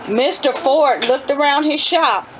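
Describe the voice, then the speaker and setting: A woman's voice: high-pitched, unhurried, background noise. A middle-aged woman, a homeschooling mother, reading a children's book aloud and talking it over with her young children. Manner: asks children questions, explains asides.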